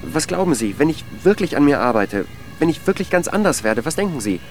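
A man's voice: a little high, very animated, fairly quick, a little mellow, faint music behind. An actor playing a needy, insecure, lovelorn man who dithers and pleads in a naturalistic radio drama. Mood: pleading, self-pitying.

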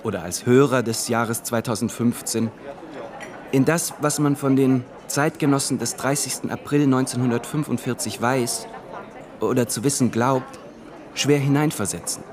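A man gives scripted commentary for a radio play. His voice is of medium pitch, with a clearly audible crowd behind him. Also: moderate pace.

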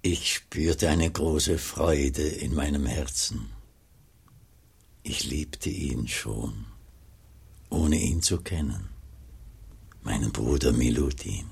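A pious old monk in a radio play, grave and humble. The voice is extremely deep, with gravel and heavy breath in it. He speaks in a solemn, measured way, full of quiet yearning. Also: full character voice. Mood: solemn, tender. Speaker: actor and first-person narrator. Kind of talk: German radio play acting.

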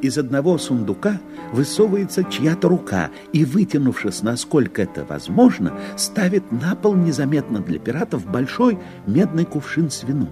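A man narrating a fairy-tale audio play as a measured storyteller, in scripted narration. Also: mid-range voice, very animated, music in the background, lightly acted.

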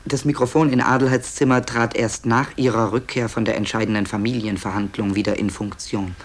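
A man's voice: on the low side, little pitch movement, fairly quick, slight background noise. A man narrating a radio play as its author, wryly explaining things directly to listeners.

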